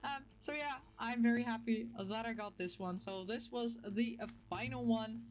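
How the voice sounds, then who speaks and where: A woman from the Netherlands with a Dutch accent chats casually to her viewers as a gaming vlogger, telling things in an animated, playfully emphatic way with little acted-out quotes. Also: fairly high pitch, moderate pace. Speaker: video game vlogger and host. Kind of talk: casual, chatty talk to camera.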